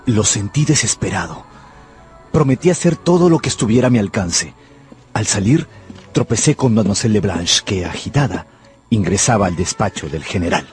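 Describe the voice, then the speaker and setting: Actor as low voiced gloomy tutor guy narrating a radio drama, measured and earnest, music underneath. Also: animated, a little mellow, natural voice. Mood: sober. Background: music behind the voice.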